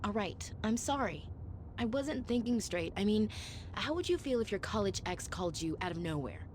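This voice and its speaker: An actress playing a spirited, supportive young woman surgeon in an animated game drama, expressive and earnest. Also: medium pitch, moderate pace, a little hiss or hum, close to an everyday voice. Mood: playful, assertive.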